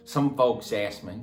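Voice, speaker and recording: An actor playing a simple, slow, matter-of-fact rural Southern man who confesses in a halting, plain-spoken, repetitive drawl, as a dramatic film monologue. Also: mid-range voice. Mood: cold, matter-of-fact. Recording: roomy echo, music underneath.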